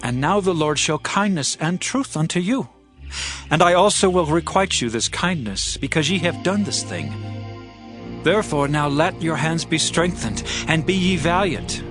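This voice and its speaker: An actor plays a noble ancient king in a scripture drama. His male voice is fairly high, stately and gracious, declaimed over faint music. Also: expressive, normal speed, fairly bright tone. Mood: solemn gratitude. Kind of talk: dramatized scripture audiobook acting.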